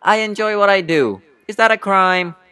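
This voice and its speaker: A man acting a vain, volatile tough-guy film star with a threatening, forceful delivery. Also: a little high, brisk, dry recording, voice pushed up, natural voice. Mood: smug. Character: volatile, vain tough-guy male actor, ready to blow.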